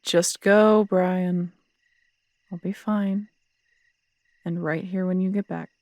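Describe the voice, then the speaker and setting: An actress as a proud, teasing woman detective in a roleplay audio drama. Her voice is creaky and recorded dry and close, with casual, intimate delivery. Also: lowish voice, steady tone, slow, darkish tone, dry recording. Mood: exasperated, stubborn. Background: crickets at night.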